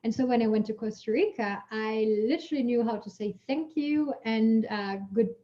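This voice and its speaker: Lady scientist, chatty, lots of fillers, warm low-key tone, muffled call sound. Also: moderate pace. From Germany. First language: German. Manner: lively storyteller, chatty with many fillers, laughs while talking. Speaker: guest scientist. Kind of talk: casual Q&A answers.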